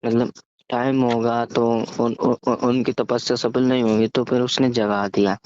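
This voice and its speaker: A man casually telling a story over the phone, halting and often stammering, repeating syllables and words. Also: medium pitch, flat delivery, darkish tone. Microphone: phone call. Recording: muffled, echoey phone call recording.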